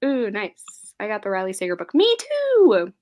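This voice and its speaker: A woman's voice: mid-range voice, wildly swooping pitch, normal speed, a little mellow. A young woman hosting a casual live-stream book chat, giggly and scattered, reading viewer comments aloud. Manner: giggly, scattered, laughs often.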